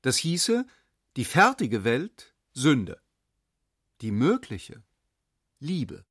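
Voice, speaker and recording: An actor playing a reflective essayist who reasons aloud in a radio play, probing and deliberate. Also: male voice, medium pitch, wildly swooping pitch, a bit bright, breathy edge, no echo, studio quality, full character voice. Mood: measured, reasoning. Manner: probing, deliberate, philosophical questioning. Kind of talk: literary radio play monologue.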